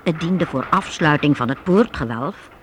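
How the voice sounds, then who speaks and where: A woman narrating a radio play as a deliberate, expressive storyteller reading a script. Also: sits low, a little hiss or hum.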